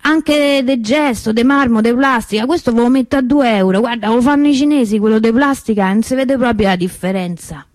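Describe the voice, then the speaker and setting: An actress playing a chatty, cynical working-class souvenir hawker with a Roman accent in a radio monologue. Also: female voice, a little high, brisk, few pauses, a little mellow, no room sound, clean studio sound, natural voice. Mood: hawking, playful. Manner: rambling street storyteller, wry, colloquial. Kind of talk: colloquial theatrical monologue for radio.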